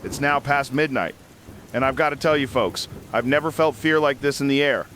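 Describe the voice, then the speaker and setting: A man playing a somber, shaken late-night radio call-in host, speaking gravely and earnestly. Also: mid-range voice, normal speed, fairly bright tone, a little hiss or hum, natural voice. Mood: grave, uneasy.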